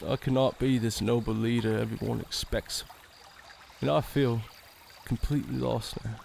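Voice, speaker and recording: An actor playing a nervous, earnest young royal sheriff who speaks formally and haltingly, full of self-doubt. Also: male voice, medium pitch, fairly even intonation, creaky, darkish tone, a little hiss or hum. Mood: anxious, confiding. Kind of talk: audio drama acting.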